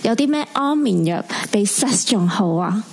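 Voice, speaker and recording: Faint hiss behind an actress as a cheeky young female colleague. She is boastful and mid-pitched, acting in a radio play. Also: animated, unhurried. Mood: teasing. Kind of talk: Cantonese radio drama acting.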